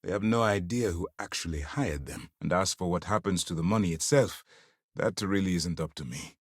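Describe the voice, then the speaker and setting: An actor playing a stern, principled military commander in a video game, speaking with formal, measured authority. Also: male voice, deep voice, dry recording, studio quality. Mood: grave. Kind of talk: acted game dialogue.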